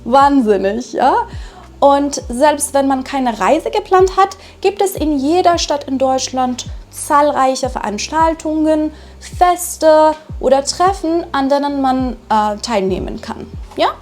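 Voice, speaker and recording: Woman from Iran, teacher-vlogger, high voice, deliberate and unhurried, soft music under her. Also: expressive. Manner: deliberate, with frequent tag questions. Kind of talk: slow, clear vlog narration for learners.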